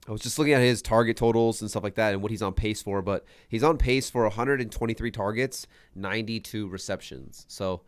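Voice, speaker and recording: A man's voice: lowish voice, smooth, no room sound. A young man with a stuffy, congested voice, co-hosting a fantasy football podcast in casual, emphatic chat. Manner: emphatic, repeats his points. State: congested from a sinus infection.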